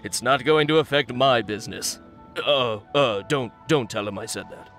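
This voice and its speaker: Male actor as guarded market vendor, medium pitch, blunt, hesitant, anime game, faint music behind. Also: normal speed, fairly bright tone, close to an everyday voice. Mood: confiding. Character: wary, defensive male street fish seller. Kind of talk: anime game dub acting.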